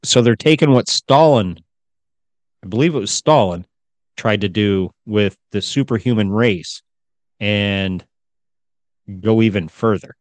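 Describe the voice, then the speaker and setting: A man's voice: lowish voice, a little slow, pauses often, no room sound. A man co-hosting a podcast in casual, rambling chat with some swearing. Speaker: podcast co-host.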